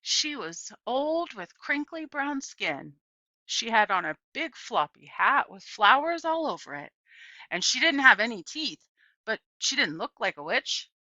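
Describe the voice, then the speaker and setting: A woman reading a children's story aloud as expressive narration. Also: fairly high pitch, measured pace, no room sound, lo-fi sound. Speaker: audiobook reader.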